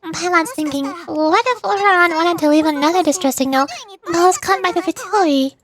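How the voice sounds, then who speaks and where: A woman's voice: very high voice, moderate pace, crowd noise. A woman voicing a small, childlike, curious fairy companion from a fantasy game, chattering in the third person.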